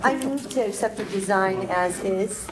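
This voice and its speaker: A woman's voice: medium pitch, moderate pace. Matter-of-fact woman making motions. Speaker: oversight committee member. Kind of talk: public meeting discussion. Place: large echoey community gym. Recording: echoey gym with faint noise.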